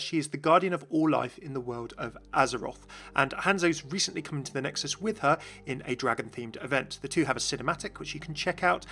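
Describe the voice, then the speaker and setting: A man's voice: on the high side, sharp tone. A man hosting a gaming video with scripted, explanatory narration. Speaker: video host.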